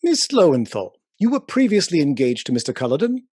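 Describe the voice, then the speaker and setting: A man's voice: a little high, sing-song, brisk, a little mellow, breathy edge, dry recording, clean studio sound. A man playing an aggressive, self-assured police inspector questioning formally in a period courtroom radio play. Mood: pressing, accusing. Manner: formal, pressing, theatrical.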